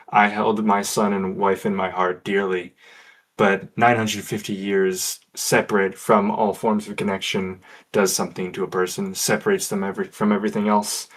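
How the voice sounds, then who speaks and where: A low-pitched man is roleplaying in a tabletop game. He improvises his character's words with halting, deliberate phrasing.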